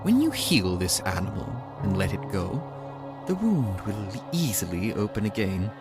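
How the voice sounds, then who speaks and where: An actor playing a cold, menacing authority figure in an audio drama, speaking in a measured, condescending, threatening way. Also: male voice, on the low side, sing-song. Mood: cold menace. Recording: music bed under the voice.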